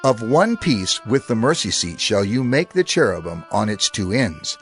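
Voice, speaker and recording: Solemn man narrating scripture with lively pitch. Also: moderate pace, soft background music.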